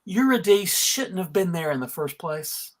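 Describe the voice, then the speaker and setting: A man's voice: high-pitched, expressive, a little slow, a little hiss or hum. A man playing a terse, tough female warrior leader, curt and commanding, in a live script read. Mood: defensive.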